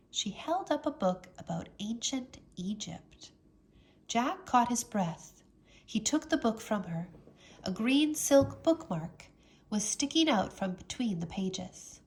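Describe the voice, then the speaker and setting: Slow, expressive woman storyteller. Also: medium pitch. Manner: expressive, dramatic. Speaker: English teacher reading aloud. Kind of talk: storybook narration for children.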